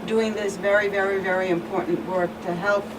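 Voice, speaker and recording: A woman's voice: medium pitch, little pitch movement, brisk. A woman from the US Virgin Islands speaking as a guest psychologist in an interview. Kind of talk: interview conversation. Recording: distant, noisy background.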